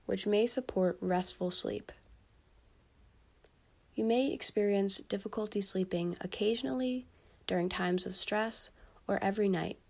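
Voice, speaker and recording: A woman with a mid-range voice guides a bedtime meditation. She reads gently and soothingly, in short measured phrases. Pauses separate her instructions. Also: mid-range voice. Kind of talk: guided sleep meditation, scripted.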